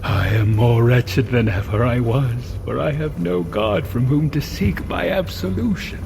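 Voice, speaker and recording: An actor playing an anguished, bitter monster in an audio drama, speaking in solemn, archaic declamation. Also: male voice, medium pitch, unhurried, vocal fry, background noise. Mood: anguished remorse. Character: anguished, remorseful male monster, grand and bitter.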